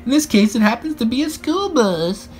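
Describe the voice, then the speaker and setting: Man putting on a high, brisk lady schoolteacher. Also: big pitch swings, a little mellow, soft background music. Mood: bright, explanatory. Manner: announcing, instructive. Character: brisk adult female schoolteacher leading excited class trip.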